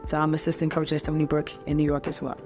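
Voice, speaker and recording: A woman in her thirties from North Carolina, a basketball coach, telling her life story in a relaxed way with many ums. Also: low-pitched, fast talker, faint music behind. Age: 30s. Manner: relaxed, conversational with frequent ums. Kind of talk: personal story told to camera.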